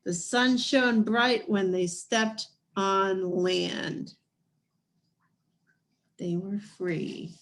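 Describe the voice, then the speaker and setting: A woman reading a children's picture book aloud as scripted narration, in deliberate, measured phrases with dramatic pauses. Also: medium pitch, fairly even intonation. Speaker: storybook reader.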